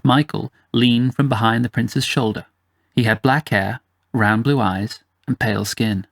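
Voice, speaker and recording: A man's voice: mid-range voice, steady tone, unhurried, vocal fry, no echo. Man narrating a fantasy story, dry sound. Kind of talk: scripted first-person fantasy narration.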